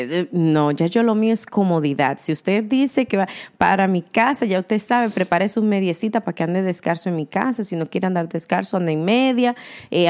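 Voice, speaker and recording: A woman's voice: medium pitch, a little mellow. A woman co-hosting a casual chat, a teacher who talks freely and drops English words into her Spanish. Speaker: co-host.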